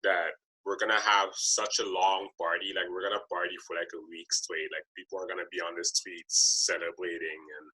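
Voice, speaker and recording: Low-voiced man with halting podcast delivery. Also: little pitch movement, pushed and bright, breathy edge, poor audio. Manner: hesitant, repetitive, halting delivery. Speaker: podcast host interviewing a guest. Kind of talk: casual interview chat.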